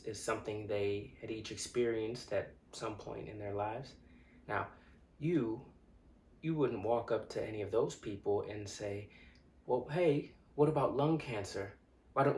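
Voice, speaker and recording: Male actor as young man, indignant, earnest, conversational dramatic monologue, halting yet insistent, reverberant room. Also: mid-range voice, natural voice. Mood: patient, reasoning. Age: young adult. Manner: deliberate, hesitant pauses and repeated words, building to insistence. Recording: echoey room.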